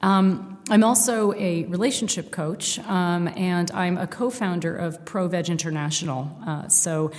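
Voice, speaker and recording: A woman, a psychologist and author, answering interview questions in a measured, explanatory way, recorded in a very big, empty room. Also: fairly low pitch, long reverb tail.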